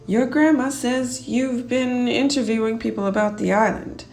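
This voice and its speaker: A woman voicing a grouchy old man in a children's storybook, speaking gruffly and gravely. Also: fairly high pitch, fairly even intonation, normal speed, darkish tone, soft background music, lightly acted. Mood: measured. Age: older. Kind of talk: children's storybook character reading.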